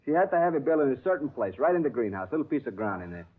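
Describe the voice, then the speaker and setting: An excitable gossipy gardener. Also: male voice, medium pitch, racing, slightly rough. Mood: confiding. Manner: broken English, animated, gossipy. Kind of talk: 1950s radio drama acting. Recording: tinny old radio broadcast.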